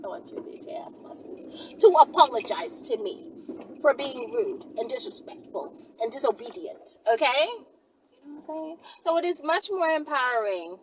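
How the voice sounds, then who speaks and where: A woman's voice: high voice, big pitch swings, normal speed, rough recording. Woman, casual Q&A host, blunt sassy delivery, faint noise and room ambience behind. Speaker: host answering viewer questions. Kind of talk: casual question-and-answer chat.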